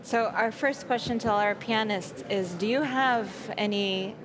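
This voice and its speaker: A woman's voice: medium pitch, unhurried, a crowd in the background. A woman hosting a panel talk, speaking in a cheerful way and laughing often. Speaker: host.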